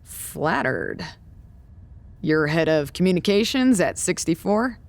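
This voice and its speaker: A woman playing a dry, firm senior colleague in an audio drama, terse, deadpan and commanding. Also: lowish voice, slow, creaky, heavily acted. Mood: dry, curious. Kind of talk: naturalistic audio drama dialogue.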